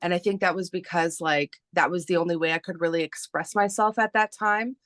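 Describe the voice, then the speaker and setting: Woman in her thirties, from Canada, native Spanish speaker; low-ish voice, unhurried, lots of ums, dry close sound. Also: fairly even intonation, fairly bright tone, no room sound. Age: 30s. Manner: slow, hesitant, many fillers. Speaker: guest, artist and publisher. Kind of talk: casual interview talk.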